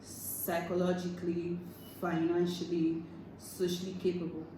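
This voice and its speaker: A young woman speaking as a guest in a casual group chat, soft and hesitant, with her sentences often trailing off. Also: fairly low pitch, completely flat delivery, unhurried. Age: young adult. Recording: very echoey room, mic far off, noticeable background noise.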